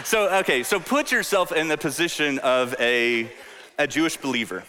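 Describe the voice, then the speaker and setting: A man preaching in a conversational, animated way, tossing asides and questions at his listeners. His voice is bright and forceful and sits a little high. It rings with the long reverb of a large hall. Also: moderate pace. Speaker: pastor. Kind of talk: sermon.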